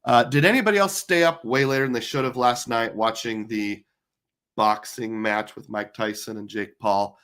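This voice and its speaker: A middle-aged man with a hoarse, tired voice, hosting a live stream in casual chat, rambling with lots of fillers. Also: mid-range voice, moderate pace, a bit bright. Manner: chatty, rambling with many fillers. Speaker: livestream host.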